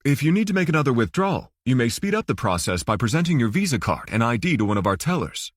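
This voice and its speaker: A man's voice: medium pitch, very animated, fast, dry recording, studio quality. A man voicing a guarded, formal male banker who is politely firm, in an audiobook thriller. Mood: formal, brisk.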